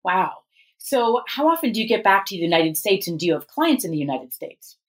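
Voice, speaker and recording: A woman's voice: medium pitch, big pitch swings, a little fast. A woman hosting a podcast, introducing and questioning her guest in a conversational interview style.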